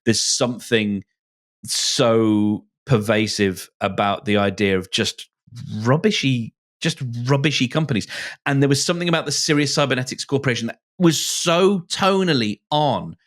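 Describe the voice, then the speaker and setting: A man's voice: medium pitch, moderate pace, fairly bright tone. Male panelist, mid-range voice, hesitant conversational delivery, feeling for words in casual discussion. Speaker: podcast panelist.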